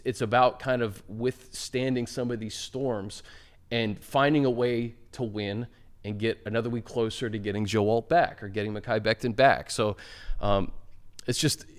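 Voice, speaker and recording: A man's voice: fairly low pitch, fairly even intonation, normal speed. A man hosting a sports talk show, giving casual analysis in a colloquial, conversational way. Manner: conversational, colloquial, frequently says "like".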